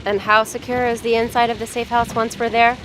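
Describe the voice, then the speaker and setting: A woman's voice: a little high, flat delivery, fairly quick, over music, natural voice, rough recording. A woman playing a serious, guarded doctor and disaster specialist in an audio drama. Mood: wary, tense. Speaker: doctor on an emergency response team. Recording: loud engine and battlefield noise effects.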